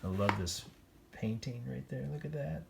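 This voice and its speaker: A children's story-time reader giving an animated picture-book read-aloud, playful, with chatty asides and exclamations. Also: medium pitch, moderate pace, creaky, a little hiss or hum.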